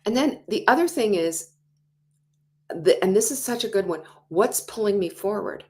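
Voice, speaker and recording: A woman in her sixties hosting a motivational talk show, animated, acting out quoted inner voices and dropping to whispers. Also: medium pitch, moderate pace, slight background noise. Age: 60s. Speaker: host.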